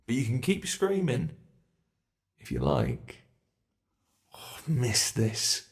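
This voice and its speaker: An ancient monster , acted out by a man, toys sadistically with human prey in an ASMR roleplay. The voice creaks with fry and takes its time over every threat. The delivery is theatrical and teasing, dropping into breathy, menacing whispers. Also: mid-range voice, wildly swooping pitch, takes it slowly, full character voice. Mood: excited taunting. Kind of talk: ASMR roleplay, scripted monologue.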